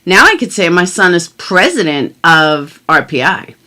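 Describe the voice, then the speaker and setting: Casting: middle-aged female commentary vlogger, bright forceful voice, animated pitch swings, blunt and emphatic, casual delivery. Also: a little slow. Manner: emphatic, blunt, swears freely. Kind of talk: casual chat and mock-dramatic reading of legal documents.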